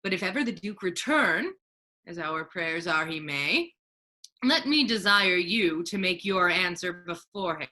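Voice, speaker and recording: A woman's voice: lowish voice, expressive, measured pace, sharp tone. A woman acting a dignified, indignant friar in a staged Shakespeare reading, speaking in a formal, righteous manner. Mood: stern. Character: Duke disguised as a male friar, dignified, indignant.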